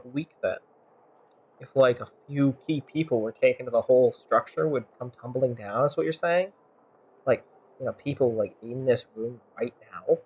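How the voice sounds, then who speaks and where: A woman's voice: rumbling low, darkish tone, slightly rough, poor audio. A woman streaming a game with improvised in-character banter, dry and sarcastic.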